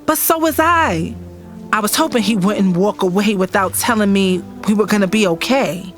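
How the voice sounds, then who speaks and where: A woman's voice: medium pitch, moderate pace, faint music behind, natural voice. A woman playing a heartbroken young lover in an audio drama, pleading and insistent. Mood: anguished narration. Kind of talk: audio drama acting with first-person narration.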